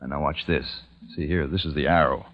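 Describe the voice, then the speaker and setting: A man's voice: very deep voice, steady tone, brisk, lo-fi sound. An actor playing a weary, grieving man who leads a few survivors, in a solemn, dramatic old-time radio style. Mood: gentle, earnest. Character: weary, grieving male survivor leading a small community. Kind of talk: old-time radio acting with first-person narration. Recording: old radio recording with music behind.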